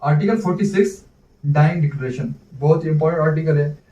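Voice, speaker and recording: A man's voice: fairly high pitch, fast, warm tone. Echoey, distant Pakistani man teaching. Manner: asks himself questions and answers them. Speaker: law teacher. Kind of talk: explanatory exam-prep lecture in Urdu mixed with English terms. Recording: dull, echoey room, distant mic.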